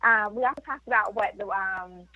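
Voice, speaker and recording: A woman's voice: mid-range voice, normal speed, dry recording, narrow, tinny audio. A woman guest on a radio talk show, giving health and wellness advice in an explanatory way, with frequent fillers and tag questions.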